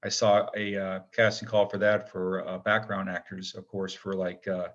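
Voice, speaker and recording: A man's voice: low voice, flat delivery, moderate pace, a bit bright. A man giving a casual interview as a guest, talking in a hesitant, rambling, modest way. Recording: dull, lo-fi connection.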